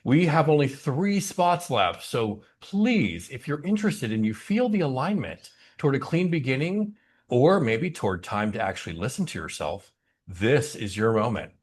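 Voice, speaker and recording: A man with a mid-pitched voice and very lively, swooping intonation leads a spiritual service. He sounds gentle and personable, inviting listeners along as he speaks. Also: normal speed, husky. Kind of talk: guided spiritual service welcome and announcements.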